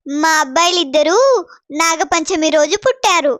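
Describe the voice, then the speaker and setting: A woman's voice: very high voice, moderate pace, fairly bright tone, no echo, studio quality. A woman voicing a polite middle-aged mother of grown sons in a cartoon story. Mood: polite, assured.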